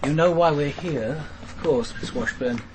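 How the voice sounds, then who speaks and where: An actor in a radio drama playing a measured senior detective, polite, restrained and resolute as he questions. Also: fairly high pitch, noisy background, lightly acted. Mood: gentle, probing.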